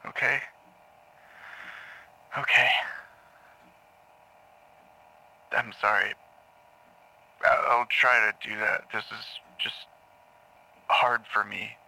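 Guy acting nervous troubled man, halting n apologetic, lowish creaky voice, audio drama. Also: little pitch movement, bright, forceful tone, heavily acted, poor audio. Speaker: actor playing a therapy patient.

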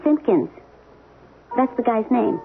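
An actress playing a down-to-earth, skeptical wife in a 1970s radio drama, blunt and teasing in manner. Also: female voice, fairly high pitch, animated, slow pace, creaky, faint music behind, exaggerated voice. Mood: exasperated. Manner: blunt, teasing, matter-of-fact. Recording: narrow-band, tinny 1970s radio broadcast.

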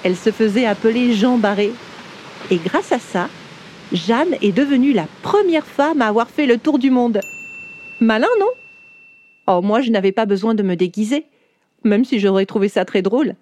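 A woman's voice: a little high, normal speed, a little hiss or hum, lightly acted. Woman playing a chatty 19th-century woman writer. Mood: lively. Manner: warm, chatty, speaks directly to the listener. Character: 19th-century woman writer telling her own life story. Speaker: storyteller. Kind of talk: first-person storytelling for children.

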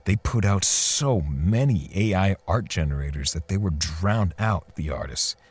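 A man playing a bitter, defensive portrait artist in an audio drama, speaking haltingly with sighs and pauses. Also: sits low, expressive, a little mellow, slightly rough, full character voice. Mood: weary, brooding. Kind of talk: audio drama dialogue and first-person narration.

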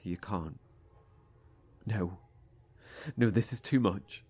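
An actor playing an anguished, protective young survivor in a horror audio drama, speaking haltingly and emotionally. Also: male voice, on the low side, darkish tone, slightly rough. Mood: disbelieving, panicked.

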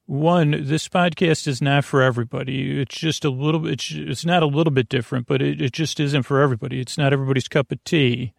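A man hosting a bedtime podcast in a creaky voice, meandering and repetitive, halting and wandering off on tangents. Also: medium pitch, fairly even intonation, dry recording, studio quality. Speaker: podcast host. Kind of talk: rambling bedtime podcast monologue.

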